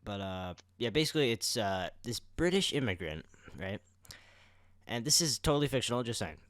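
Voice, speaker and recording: A man's voice: medium pitch, big pitch swings, normal speed, fairly bright tone, no echo, studio quality. A man co-hosting a podcast in casual conversation, explaining things in an informal, rambling way. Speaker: podcast co-host.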